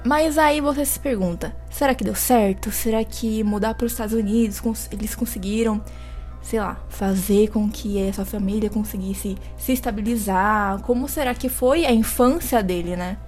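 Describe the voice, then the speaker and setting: A woman's voice: mid-range voice, normal speed, darkish tone. A young woman co-hosting a true-crime podcast, rambling with fillers and posing dramatic questions. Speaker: podcast co-host. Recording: faint music behind.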